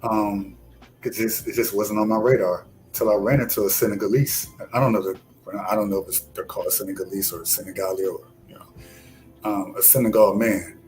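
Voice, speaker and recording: A man telling a story in a lively, casual way and acting out the voices of the people he quotes. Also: lowish voice, fairly quick, faint music behind, poor audio. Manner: animated storyteller who acts out quoted voices. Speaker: podcast co-host. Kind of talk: casual chat.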